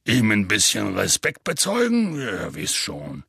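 A man voicing a huge, gruff, good-natured male half-giant who tells a rambling, folksy story in a deep gravelly rumble. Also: huge pitch swings, unhurried, sharp tone, no echo, studio quality, exaggerated voice. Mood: telling tale. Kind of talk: audiobook character voice.

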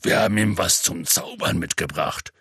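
A man voicing a huge, gruff, good-natured male half-giant who tells a rambling, folksy story in a deep gravelly rumble. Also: moderate pace, pushed and bright, dry recording, studio quality, full character voice. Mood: telling tale. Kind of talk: audiobook character voice.

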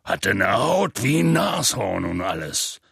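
A man voicing a huge, gruff, good-natured male half-giant who tells a rambling, folksy story in a deep gravelly rumble. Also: very animated, a little slow, a bit bright, dry recording, studio quality, heavily acted. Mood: telling tale. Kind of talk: audiobook character voice.

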